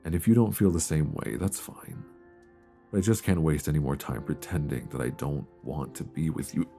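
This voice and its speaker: A man acting as a lovestruck male friend in an intimate ASMR romance roleplay. His voice is extremely low with a gravelly creak, soft and hesitant, spoken close to the mic over faint music. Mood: tender, longing. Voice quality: deep voice. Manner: hesitant, soft, earnest, with sighs and pauses. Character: lovestruck longtime male friend confessing love, tender and nervous. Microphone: close binaural microphone.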